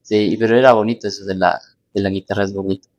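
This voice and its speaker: A man's voice: fairly low pitch, little pitch movement, fast talker, darkish tone, no echo, highs cut off. A man hosting a podcast, asking questions in a casual, conversational interview style. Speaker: podcast host.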